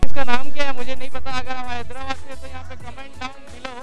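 A man's voice: very high voice, monotone, quick pace. A man in his thirties, a travel vlogger, chatting upbeat and casual outdoors on a city street and from his motorbike, caught on an action camera. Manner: upbeat, mixes English phrases into chat. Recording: loud music under the voice.

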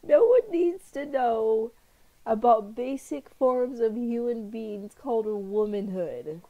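A woman's voice: a little high, animated, slow, phone-quality sound. A woman making wry, sarcastic asides while playing through a visual novel at home. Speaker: gaming YouTuber. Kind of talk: joking commentary asides.

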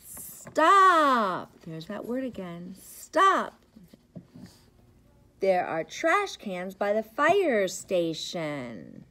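A middle-aged woman, a preschool teacher, reads a picture book aloud to young children with expressive, rhythmic, sing-song phrasing. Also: mid-range voice, extremely slow. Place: indoors, school classroom. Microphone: device camera at about arm's length.

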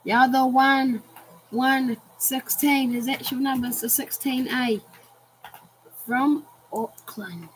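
A woman's voice: high-pitched, steady tone, slow, a little hiss or hum, rough recording. A woman giving casual, eager commentary on a racing game and building hype with questions. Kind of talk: casual hobby race commentary.

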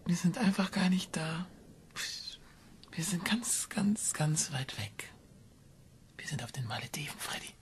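An actress playing a brooding woman in a German radio crime drama, breathy and sometimes nearly whispering. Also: fairly low pitch, lively intonation, unhurried, pauses often, slight background noise. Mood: dreamy, eerie.

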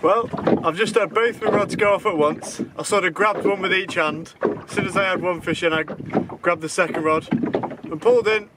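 A man's voice: high voice, moderate pace. A man, chatting casually to camera as he hosts an outdoor fishing vlog. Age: 20s. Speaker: angler, video host. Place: small open boat at sea. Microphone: camera mic at a short distance. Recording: loud wind and water noise.

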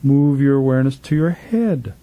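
A man leading a guided meditation as a spiritual teacher, gently instructing in a soothing, repetitive manner with trailing phrase endings, recorded in a studio. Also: medium pitch, lively intonation, moderate pace, no room sound. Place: professional studio.

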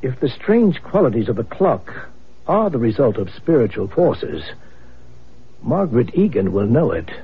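Husky, animated paranormal investigator. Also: male voice, medium pitch, moderate pace, full character voice. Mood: earnest, intrigued. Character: earnest, confident male paranormal investigator. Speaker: actor in a radio mystery drama. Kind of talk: vintage American radio drama acting. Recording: muffled old radio broadcast.

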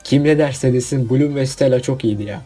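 Male commentator, husky and relaxed, opinionated and jokey, casual countdown-video delivery. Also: mid-range voice, brisk, faint music behind. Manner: opinionated, jokey asides with rhetorical questions. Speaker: video host.

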